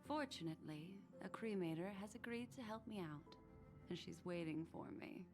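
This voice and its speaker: A woman voicing a gentle, mysterious, elegant lady in video game dialogue, soft, breathy and composed. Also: lowish voice, moderate pace, music in the background, lightly acted. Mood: solemn, gentle.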